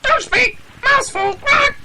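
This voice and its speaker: A silly squawky parrot, crazy high, far off mic, loud noise, kids audio drama. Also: slow. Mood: cheeky. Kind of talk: dramatised children's audiobook acting.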